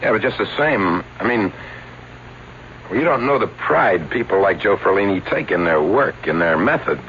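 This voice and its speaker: A guarded stage-act partner in old radio drama, low voice, evasive, muffled sound. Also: male voice, expressive, moderate pace. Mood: defensive, evasive. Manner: evasive, earnest, explanatory. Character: guarded, defensive stage escape-act associate, loyal. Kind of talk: 1970s radio drama acting. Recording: muffled, faintly noisy old radio broadcast.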